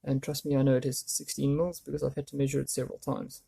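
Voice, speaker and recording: A man with a gravelly voice explaining a woodworking job casually, thinking aloud as he works. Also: medium pitch, flat delivery, fast talker, very noisy. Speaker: DIY woodworking video host. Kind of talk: casual hands-on tutorial talk.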